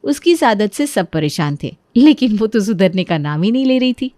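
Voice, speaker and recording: A woman's voice: mid-range voice, very animated, moderate pace, mellow, no echo. A woman narrating a scripted story.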